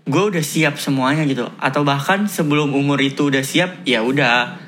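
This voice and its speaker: Laid-back young man, higher voice. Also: normal speed, a bit bright. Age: young adult. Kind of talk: casual podcast chat.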